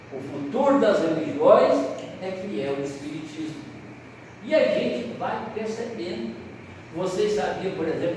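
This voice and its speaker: A high-voiced Brazilian man leads a seminar as an animated storyteller, stretching out words and stopping to fix his own slips. He sounds set back from the mic in a long, echoing hall with a faint hiss. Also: distant mic. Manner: animated storyteller, pausing on words and correcting himself aloud. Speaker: lecturer at a Spiritist seminar. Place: lecture hall.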